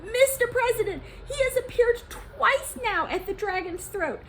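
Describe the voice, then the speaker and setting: Woman voicing a shrill, slippery, excitable henchman. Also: measured pace, slight background noise. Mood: alarmed. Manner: gasping, fretful, eager. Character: slimy, excitable, greedy male henchman.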